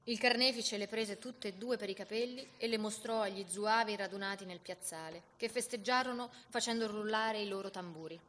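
A woman's voice: medium pitch, brisk, few pauses, fairly bright tone, in a large hall, slight background noise. A woman giving dramatic scripted historical narration as a stage narrator.

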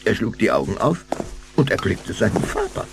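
A male narrator reads a scripted children's audio drama. His voice is very deep and gravelly, with richly varied intonation. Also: huge pitch swings, moderate pace, music in the background.

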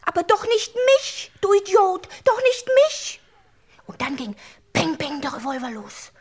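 High-voiced, eager little girl. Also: very animated, unhurried, heavily acted. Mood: earnest, secretive. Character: eager, adoring little girl, amateur detective. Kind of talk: German crime radio play acting.

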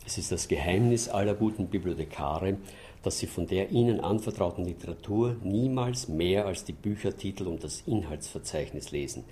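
Guy, low mellow voice, measured and dryly ironic interview talk. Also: animated. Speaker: library director, interviewee.

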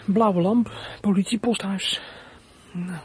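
An actor playing a frightened, scheming fourteen-year-old runaway boy in a radio play, husky and thinking aloud in an anxious, halting way. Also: high-pitched, steady tone, darkish tone, slight background noise. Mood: nervous, plotting. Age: teens. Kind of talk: radio play acting, monologue.

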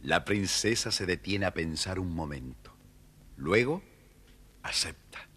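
A man narrating a children's story from a script in an expressive, dramatic storytelling manner. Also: low voice, unhurried, husky.

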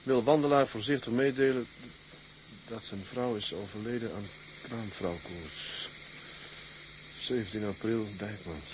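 Casting: male actor as a bereaved young captain, lowish voice, few words, subdued, over a noisy hissing track. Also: unhurried, close to an everyday voice. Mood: stunned, grieving. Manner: terse, subdued. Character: stoic, grieving young sea captain, terse.

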